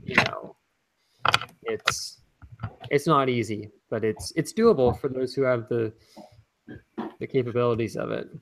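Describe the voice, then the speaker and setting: A man's voice: medium pitch, a little slow, gravelly, pauses often, fairly bright tone, low-quality recording. A man co-hosting a casual talk show, rambling with lots of filler words. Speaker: co-host. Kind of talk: casual chat.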